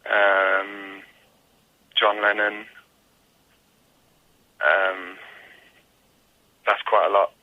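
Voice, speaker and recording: A man's voice: fairly low pitch, completely flat delivery, very slow, long pauses, phone-quality sound. A man answering casually as a musician guest in an interview, reflective and searching for his words. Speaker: guest musician, singer-songwriter.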